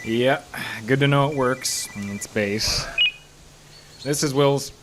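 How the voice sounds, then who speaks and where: A man's voice: mid-range voice, slow pace, natural voice. Reluctant male park ranger, played by an actor, wry and grumbling, audio drama, light background noise. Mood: businesslike.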